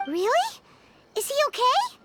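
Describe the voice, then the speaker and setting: A woman voicing a small, childlike fairy companion in a video game, squeaky and cutesy, bubbly in temper. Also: wildly swooping pitch, unhurried, background noise, heavily acted. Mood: curious, surprised. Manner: cutesy, refers to self by name. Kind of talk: video game character acting. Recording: music behind the voice.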